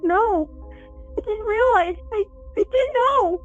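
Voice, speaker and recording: A woman's voice: very high voice, takes it slowly, highs cut off, soft background music. A woman voicing an earnest, aggrieved young teen boy hero in a fantasy audio drama, emotional and a little whiny. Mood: horrified.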